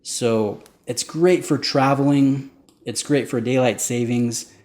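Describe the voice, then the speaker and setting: A man's voice: mid-range voice, fairly even intonation, slow, darkish tone. A man hosting his own channel, talking casually and with enthusiasm as he explains the details of a watch. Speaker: watch reviewer. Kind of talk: casual product review to camera.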